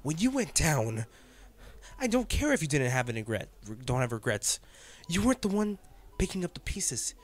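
A man's voice: fairly high pitch, big pitch swings, moderate pace. A man playing an intense, protective male field agent in a dramatic audio roleplay, speaking emotionally and emphatically to his partner. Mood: angry, upset. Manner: emotional, emphatic, addressing the listener directly.

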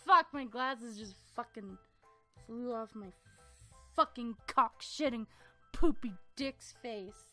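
A woman's voice: a little high, slow pace, bright, forceful tone, faint music behind. A young woman amateur voice actor muttering and swearing in exasperation between takes. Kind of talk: blooper outtakes, casual muttering.

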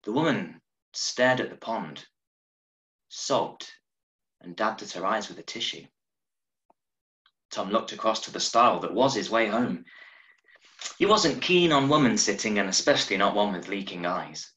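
A man in his thirties reading a short story aloud in a measured, dramatic way, recorded at home over a video call. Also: fairly low pitch, lively intonation, pushed and bright. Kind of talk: expressive audiobook-style story narration. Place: small room at home, indoors. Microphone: video call from a desk, wearing earphones. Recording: roomy, echoing home webcam recording.

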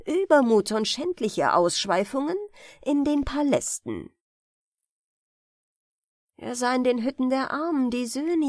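A woman with a fairly high voice reads a bedtime fairy tale. Her pace is slow and her intonation moves widely and expressively. The recording is dry and close, like a studio audiobook. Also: no room sound. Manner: measured, expressive storytelling. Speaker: audiobook reader.

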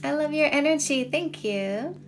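Middle-aged female livestream coach, Canadian accent, fairly high voice with marked vocal fry, conversational, music behind. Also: expressive, moderate pace. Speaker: dating coach and livestream host. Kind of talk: casual livestream chat, reading and answering viewer comments. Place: small home room with shelves, indoors. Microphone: phone at arm's length.

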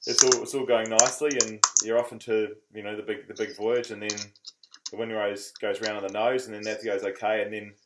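A man's voice: lowish voice, level tone, fairly quick, very noisy. A man hosting a sailing podcast, asking questions in a chatty, folksy way. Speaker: podcast host. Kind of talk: casual interview.

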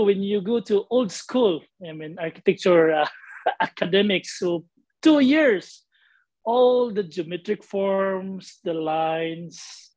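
A man's voice: sits high, no echo. A man in his forties from the Philippines with a Filipino accent, a guest painter chatting casually in an interview over a video call from his home studio. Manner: relaxed, rambling, with frequent laughs and fillers. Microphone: webcam on video call.

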